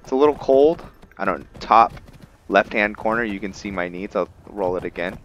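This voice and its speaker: A man's voice: lowish voice, moderate pace, fairly bright tone, slight background noise. A man narrating his video game roleplay casually, thinking aloud in fragments. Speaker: gaming video host roleplaying a character.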